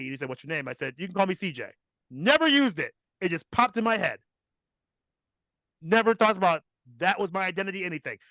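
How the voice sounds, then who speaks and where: A man's voice: high-pitched, pushed and bright, no echo. A man hosting a podcast, telling a personal story in a casual, profane and emphatic comic style.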